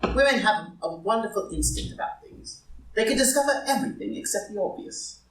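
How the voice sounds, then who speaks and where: A man playing a languid, witty gentleman and loyal friend, speaking with urbane formality in a live play reading. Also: high-pitched, expressive, fairly quick. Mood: urbane, wry. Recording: roomy echo, far from the mic.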